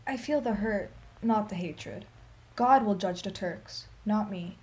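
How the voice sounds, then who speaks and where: An actress playing a sorrowful, dignified old Armenian woman in a radio play, telling her story in a solemn, measured, emphatic way. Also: mid-range voice, close to an everyday voice. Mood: resolute, forgiving.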